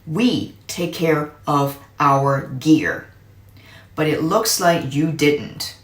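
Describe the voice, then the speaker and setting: Woman reading as a firm, slow-spoken veteran uncle. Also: low-pitched. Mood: stern. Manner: serious, firm, emphatic. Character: adult uncle, military veteran, firm disciplined mentor. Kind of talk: storybook character voice. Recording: echoey room.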